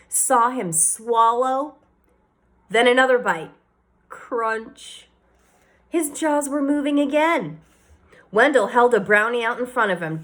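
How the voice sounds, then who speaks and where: A woman teacher reading a children's novel aloud with expressive storytelling and varied emphasis. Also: fairly high pitch.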